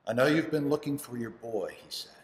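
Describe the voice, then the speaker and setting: A man acting a devoted, grieving father in a dramatic monologue, telling of his lost son haltingly and earnestly, with dramatic pauses. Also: medium pitch, animated, close to an everyday voice. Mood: quiet, pained. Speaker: actor performing an audition monologue. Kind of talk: dramatic first-person monologue. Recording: echoey hall with long reverb.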